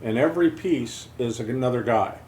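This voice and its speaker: A man's voice: medium pitch, moderate pace, darkish tone, slight background noise. Man interviewing in an echoey room. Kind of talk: interview questions.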